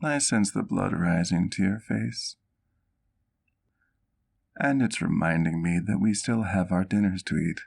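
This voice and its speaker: A man playing a doting, courteous vampire doctor in an intimate ASMR roleplay, with formal, affectionate diction and gentle teasing. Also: low-pitched, unhurried, gravelly, long pauses, exaggerated voice. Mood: warm, playful.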